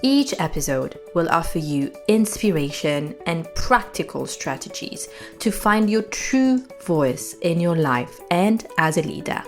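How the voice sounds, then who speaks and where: A woman in her thirties, from France, hosts a podcast in a reflective, earnest monologue. Her voice sits low for a woman. She often fills her sentences with "you know" and "um" as she thinks aloud. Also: very animated, a little slow, faint music behind. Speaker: podcast host.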